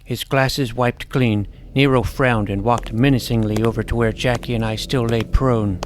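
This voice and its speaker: A man playing an earnest, nerdy schoolboy superhero who narrates his own adventure in a deadpan first-person style. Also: medium pitch, moderate pace, a little hiss or hum, lightly acted. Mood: earnest narrating. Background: music.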